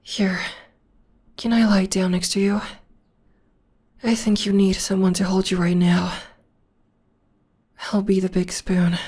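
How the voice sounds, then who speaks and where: A young woman voicing a gentle, devoted witch girlfriend in an ASMR comfort roleplay, speaking softly and often in a whisper. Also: mid-range voice, little pitch movement, measured pace, long pauses, husky. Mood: tender, soothing. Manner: soft, soothing, often whispering. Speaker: voice actor.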